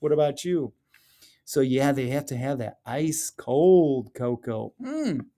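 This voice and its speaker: A man reading a picture book aloud as a teacher, chatting to children and explaining the pictures with expressive, drawn-out phrasing. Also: medium pitch. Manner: questions and chats to children, explaining illustrations.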